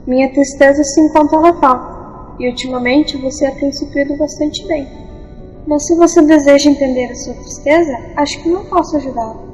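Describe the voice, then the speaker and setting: A woman playing a gentle, wise young enchanted lake maiden in an audio fantasy storybook, speaking tenderly and with measured phrasing. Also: high-pitched, steady tone, lightly acted. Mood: tender, wistful. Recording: dull, long reverb, faint music.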